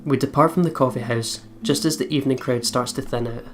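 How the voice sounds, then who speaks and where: A man's voice: mid-range voice, brisk, over music. A man co-hosting a let's play, reading a visual novel aloud and chatting casually about it.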